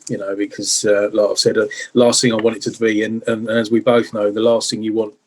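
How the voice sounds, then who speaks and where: A middle-aged man chatting casually in a low-key, hesitant way as an interview guest. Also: flat delivery, brisk. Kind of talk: casual chat.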